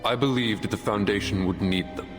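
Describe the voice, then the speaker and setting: An actor playing an earnest, resolute military officer in a game, making a measured, formal and persuasive case to a tribunal. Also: male voice, fairly low pitch, natural voice. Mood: firm, reasoned. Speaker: soldier defending himself at a tribunal. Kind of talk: dramatic game voice acting. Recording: long hall reverb, music behind.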